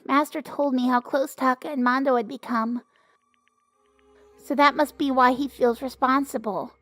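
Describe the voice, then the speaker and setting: A woman voicing a gentle, earnest AI computer program in an anime fan dub. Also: high voice, steady tone, normal speed, dry recording, faint music behind.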